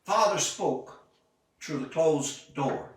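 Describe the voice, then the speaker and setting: A man reading his own literary short story aloud in a measured, deliberate way, with expressive emphasis and dramatic pauses. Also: on the high side. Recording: echoey room, distant microphone.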